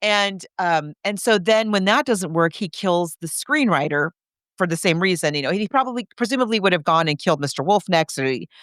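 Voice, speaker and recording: A woman with a fairly low, clear voice chats as a podcast co-host. She retells a film casually, like someone telling a story to a friend. The recording is close and dry. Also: lively intonation, a little fast, fairly bright tone, no room sound. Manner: conversational storytelling, acting out characters' reactions. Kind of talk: casual film recap chat.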